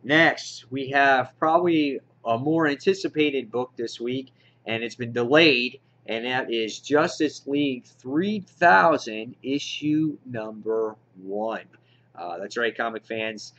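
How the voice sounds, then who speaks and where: A man's voice: mid-range voice, slow, fairly bright tone. Man, dull lo-fi recording, enthusiastic reviewer. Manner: enthusiastic fan talk, addresses viewers directly. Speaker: comic book reviewer, channel host. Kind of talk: casual unscripted haul review to camera.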